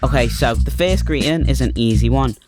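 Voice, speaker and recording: A man in his twenties from Liverpool with a Liverpool accent, narrating a short animated film in a chatty, wry, self-mocking way. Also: medium pitch, brisk, over music. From Liverpool, England. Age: 20s.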